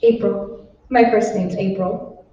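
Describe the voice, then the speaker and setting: A woman's voice: mid-range voice, steady tone, takes it slowly, warm tone, close to an everyday voice. A woman playing a sweet, coaxing secretary who pushes products on her boss in an old-time radio drama. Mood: confiding, tender. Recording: echoey hall, distant mic, dull, faint noise.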